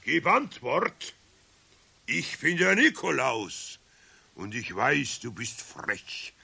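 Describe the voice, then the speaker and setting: An actor playing a grumbling old master carpenter with a Bavarian accent, stern and theatrical, in a children's radio play. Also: male voice, medium pitch, big pitch swings, gravelly, pushed and bright, slightly rough, exaggerated voice. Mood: mock-stern, threatening. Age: older. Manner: theatrical, slow and emphatic.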